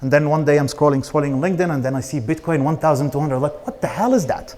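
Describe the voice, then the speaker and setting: A man's voice: on the high side, fast, a little mellow. A man in his thirties from Israel, an Arabic speaker, giving an informal, blunt personal talk as a startup founder. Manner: casual, blunt, swearing.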